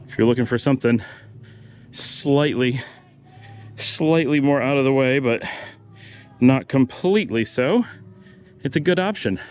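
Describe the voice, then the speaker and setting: A middle-aged man giving relaxed walking-tour commentary outdoors, his voice rising and falling a lot as he points out shops and houses. The sound is dull and lo-fi, with faint street noise behind him. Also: medium pitch. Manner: relaxed, pointing things out as he walks.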